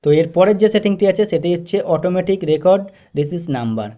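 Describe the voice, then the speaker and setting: A man's voice: high-pitched, lively intonation, quick pace, warm tone. A man narrating a step-by-step phone tutorial in a methodical, instructional way with repetitive phrasing. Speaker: tech tutorial host. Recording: dull, lo-fi voice-over recording.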